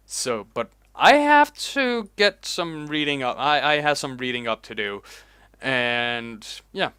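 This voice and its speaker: Slow-talking man, bright voice. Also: mid-range voice, clear, resonant tone, no room sound. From Denmark. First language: Danish. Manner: rambling, unhurried, chatty. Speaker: host. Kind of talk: casual reaction vlog commentary. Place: home, alone at a computer.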